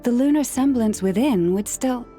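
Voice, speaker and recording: A woman voicing a gentle young woman who hears animals, speaking softly and patiently with a slightly wry touch. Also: a little high, lively intonation, normal speed, faint music behind, close to an everyday voice. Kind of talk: game character dialogue.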